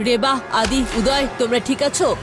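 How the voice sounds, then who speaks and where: A woman's voice: fairly high pitch, brisk, lightly acted. A woman playing a brave, confident young boy hero in a children's cartoon, speaking in bold declarations. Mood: reassuring. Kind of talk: dubbed children's cartoon acting. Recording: music behind the voice.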